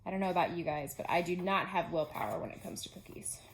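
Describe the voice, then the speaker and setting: A woman's voice: on the low side, fast talker, a little hiss or hum, poor audio. A woman teacher narrating a children's storybook aloud, with simple phrasing aimed at young children. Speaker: pre-K teacher reading aloud.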